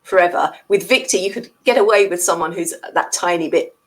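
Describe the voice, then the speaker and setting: Low-pitched woman, tentative and conversational. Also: brisk. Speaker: read-along co-host, guest. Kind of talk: casual book discussion. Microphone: video call.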